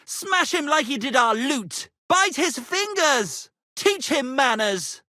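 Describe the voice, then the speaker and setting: An actor playing a pompous, flamboyant medieval poet and tavern musician in a video game, speaking in a florid, theatrical manner. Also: male voice, very high-pitched, sing-song, slow, bright, forceful tone, no echo, studio quality, exaggerated voice. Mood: riled, shouting. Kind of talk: theatrical game character dialogue.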